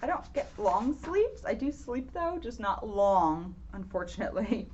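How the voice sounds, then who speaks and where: A woman's voice: mid-range voice, big pitch swings, a little slow, darkish tone, highs cut off, a little hiss or hum. A woman reading a children's storybook aloud with playful, dramatic emphasis and casual asides.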